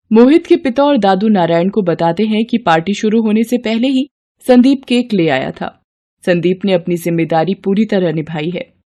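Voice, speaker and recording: A woman's voice: mid-range voice, mellow. A woman reading scripted narration for a moral bedtime story.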